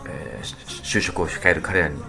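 A man talking on a radio show, explaining things politely with frequent drawn-out hesitations. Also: low voice, gravelly. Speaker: band leader, guest talker on radio show. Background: background music.

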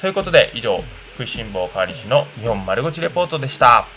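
A man presenting a food report on radio, speaking politely and in measured phrases from semi-prepared notes. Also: medium pitch, big pitch swings, pushed and bright, soft background music, poor audio.

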